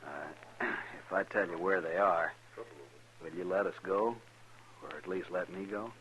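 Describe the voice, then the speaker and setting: A man playing a shifty, surly rifle thief in a radio western, defensive, with rough uneducated frontier speech. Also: low-pitched, a little slow, fairly bright tone. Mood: wheedling, subdued. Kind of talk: 1950s western radio drama acting. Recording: dull, noisy old radio recording.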